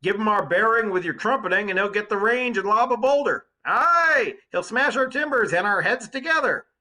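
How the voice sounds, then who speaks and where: A man's voice: high voice, lively intonation, normal speed, pushed and bright, voice pushed up, heavily acted. A man voicing a frightened, exasperated sailor in an epic storybook reading, pleading urgently with his captain in a strained voice. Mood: exasperated, alarmed.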